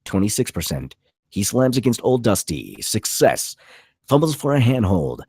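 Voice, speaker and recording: A man's voice: lowish voice, very animated, moderate pace, darkish tone, husky, dry recording, studio quality. A man reading stage directions as dramatic scripted narration, in punchy, clipped phrases. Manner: punchy, clipped delivery with voiced sound effects.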